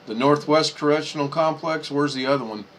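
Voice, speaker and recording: A man's voice: a little high, fairly quick, low-quality recording. A man hosting a casual video chat, talking easily while he works through the screen. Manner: easygoing, talks while working the screen.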